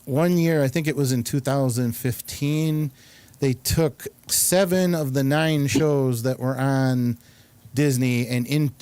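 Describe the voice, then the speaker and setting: A man hosting a casual talk show, speaking in a halting but enthusiastic, rambling way. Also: medium pitch, darkish tone, no room sound. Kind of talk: casual rambling round-table chat.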